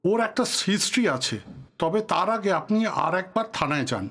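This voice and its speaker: Radio play politician, male actor: high male voice, courteous but guarded, authoritative, a touch of reproach. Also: expressive, moderate pace, a bit bright. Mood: authoritative, helpful. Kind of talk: Bengali audio drama acting.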